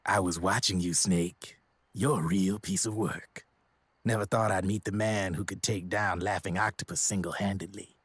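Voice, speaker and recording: An actor playing a streetwise, glib American arms dealer in a video game, with a slangy, theatrical storytelling manner. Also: male voice, low voice, steady tone, moderate pace, breathy edge, dry recording. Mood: cocky, casual. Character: streetwise, glib arms dealer telling grim war stories. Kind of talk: video game cutscene voice acting.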